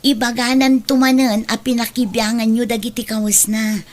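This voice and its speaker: A woman's voice: on the high side, flat delivery, normal speed, natural voice. A woman playing a caring but reproving elder sister in an audio drama, earnest and admonishing, with an Ilocano accent. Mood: concerned. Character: caring, reproving elder sister who defends her mother.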